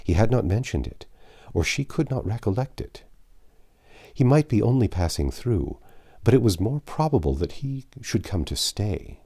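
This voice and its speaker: A man's voice: on the low side, big pitch swings, normal speed, dark tone. A man reading a classic novel aloud as an audiobook narrator, in a scripted storytelling style.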